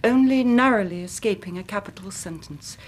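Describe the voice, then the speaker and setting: An English actress playing an anxious, careworn young Victorian gentlewoman in a radio drama, speaking formally and precisely. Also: mid-range voice, brisk, a little hiss or hum, close to an everyday voice, rough recording. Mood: grave, hushed. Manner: formal, precise, dramatic narrating with hushed moments. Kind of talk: British radio drama acting.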